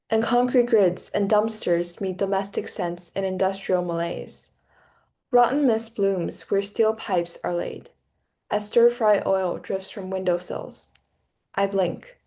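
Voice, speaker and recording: A young woman from China, a poet, introducing and reading her own verse aloud in a measured, deliberate way. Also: mid-range voice, warm tone. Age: young adult.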